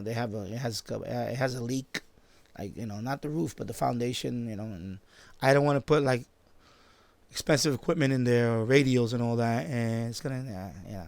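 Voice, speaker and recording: A man of fifty with a New York accent talks in a mid-pitched, gravelly voice with plenty of fry. He rambles informally, circling back over his phrases as a live streamer does. The sound is dry, with little room in it. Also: dry recording. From born in Puerto Rico, grew up in the Bronx, New York. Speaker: live stream host, shortwave radio hobbyist. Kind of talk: casual live stream chat. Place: basement at home.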